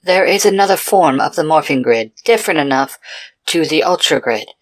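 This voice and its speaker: A serious guardian woman acted by a woman with a lowish voice, in a fan audio drama. The delivery is blunt and deliberate, with some unease. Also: animated. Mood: serious, uneasy.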